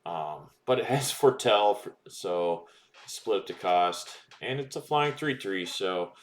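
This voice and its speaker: Man with a deep voice, relaxed unboxing chatter, reads card text aloud, lots of ums and uhs. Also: unhurried, slight background noise. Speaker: card-opening streamer. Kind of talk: casual card-by-card commentary while opening packs.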